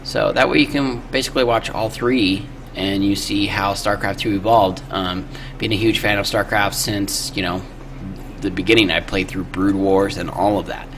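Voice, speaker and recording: Low-voiced man in a casual video intro. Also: steady tone, moderate pace, fairly bright tone, a little hiss or hum. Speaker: video creator and gamer.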